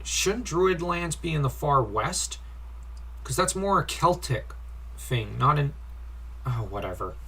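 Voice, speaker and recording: A man reviews a comic in a bright, forward voice at a slow pace. His delivery is informal and critical, picking at small details with an exasperated, sighing manner. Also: a little high, big pitch swings, husky. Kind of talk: informal comic review commentary with read-out dialogue.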